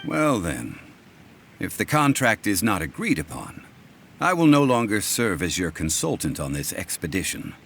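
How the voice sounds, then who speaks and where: A man playing a calm, dignified and formal consultant in a video game, speaking in a measured, courteous way. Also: sits low, a little hiss or hum, exaggerated voice. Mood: calm, firm.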